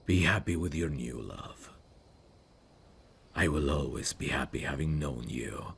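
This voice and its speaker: A man playing a brooding evil prince, tender and sorrowfully noble, speaking in a slow, formal, wistful way for an intimate ASMR fantasy roleplay. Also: very deep voice, creaky, a bit bright, husky, full character voice. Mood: bittersweet, gentle.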